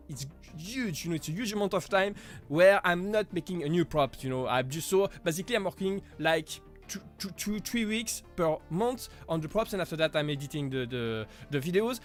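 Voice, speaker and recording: High-voiced man chatting on a live stream. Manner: chatty, halting, with frequent small laughs and "you know". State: voice deepened while getting over an illness. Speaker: live stream host answering viewer questions. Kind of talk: casual live Q&A chat.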